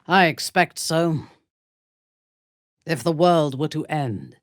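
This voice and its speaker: A woman playing a proud, ruthless, seductive aristocratic villain in a video game, speaking in an imperious, deliberate, softly menacing way with a husky, breathy voice. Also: deep voice, no echo, studio quality, heavily acted. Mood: cold, imperious. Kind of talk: dramatic video game voice acting.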